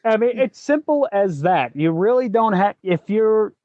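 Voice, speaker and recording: Male podcast host, slightly high-pitched, casual and explanatory, halting delivery, close dry sound. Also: animated, no room sound, highs cut off. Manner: halting, thinking aloud. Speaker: working actor.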